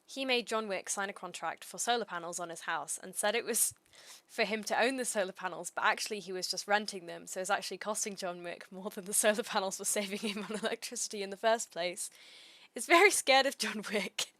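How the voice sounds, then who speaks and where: A woman streamer with a dry, understated delivery, reading aloud and chatting casually in a deadpan way. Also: mid-range voice, brisk, no echo.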